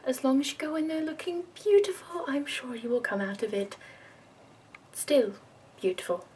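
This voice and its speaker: A woman in her twenties voicing a prim, fussy, upbeat woman makeup escort from the capital, in a soft whispered ASMR roleplay. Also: sits high, animated, normal speed, slight background noise. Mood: prim, soothing.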